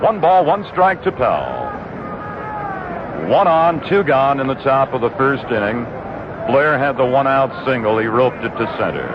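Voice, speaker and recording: A male play-by-play announcer calls a ballgame in a steady, measured way, weaving statistics between pitches. The sound is a dull, narrow-band 1960s radio recording, with clear noise and stadium ambience behind him. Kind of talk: live radio baseball commentary. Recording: muffled old 1960s radio broadcast with noise.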